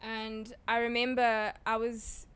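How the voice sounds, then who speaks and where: A young woman from South Africa talks through her story in a chatty, rambling way, filling pauses with "um" and "like". Her voice sits a little high and sounds smooth and clear. She speaks into a handheld mic in a small indoor room. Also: monotone, fairly bright tone. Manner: chatty, thinks aloud with many "um"s and "like"s. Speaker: interview guest. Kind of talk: casual conversation. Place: small indoor room with curtains, seated in armchairs. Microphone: handheld mic passed between speakers.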